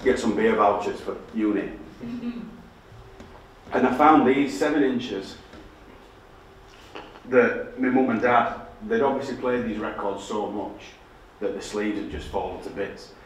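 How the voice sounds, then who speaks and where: A man's voice: lowish voice, unhurried, pauses often, a little hiss or hum. A middle-aged man with a thick Yorkshire accent, telling stories on stage in a blunt, sweary, self-deprecating way. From Yorkshire, England. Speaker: designer giving a public talk. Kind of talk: casual storytelling talk with heavy swearing. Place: dark theatre stage with projector screen. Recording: echoey hall heard from a distance.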